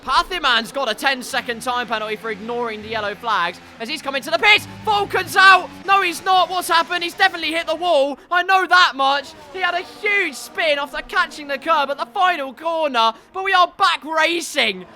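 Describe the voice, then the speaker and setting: A man's voice: extremely high pitch, normal speed, hardly any pauses, soft background music. Congested man commentating a sim race. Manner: wry asides, gets loud and excited at crashes. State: congested, stuffy nose.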